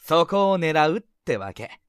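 A man playing a sly, teasing high school senior in a Japanese romantic-comedy voice drama, smooth-talking and confident. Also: big pitch swings, a little slow, no echo, clean studio sound. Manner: smooth-talking, playful, insinuating.

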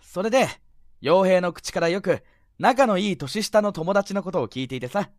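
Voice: medium pitch, expressive, normal speed, dry recording, studio quality, close to an everyday voice. An actor playing a cheerful, confident teenage male club president with a theatrical, friendly manner, in a youth voice drama. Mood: cheerful, breezy. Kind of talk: Japanese youth romantic comedy voice drama.

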